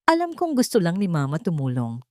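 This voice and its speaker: A woman's voice: fairly low pitch, wildly swooping pitch, a little fast, dry recording, clean studio sound. A woman voicing a cruel, scheming daughter-in-law in an audio drama, needling and falsely sweet. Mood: falsely concerned. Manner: sharp, needling, feigning concern.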